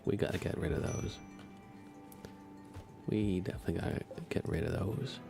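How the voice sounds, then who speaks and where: Male ASMR gaming streamer: very low, fry-heavy voice, soft and unhurried, musing commentary. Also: steady tone, music in the background. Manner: hushed, unhurried, musing aloud. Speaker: live streamer.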